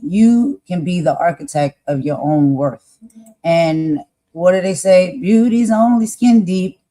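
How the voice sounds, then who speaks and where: Man, raspy voice, slightly high, earnest and preachy, casual live-stream host. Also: slow pace, warm tone. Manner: preachy, earnest, addresses viewers as y'all.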